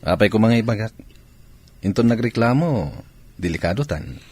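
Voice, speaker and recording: Casting: man to play a shifty married lover, deep rough-edged husky voice, Ilocano Filipino accent, radio play. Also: expressive, moderate pace, a little mellow, no room sound. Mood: defensive. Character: evasive, defensive married man with mistress. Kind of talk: Filipino radio comedy-drama acting.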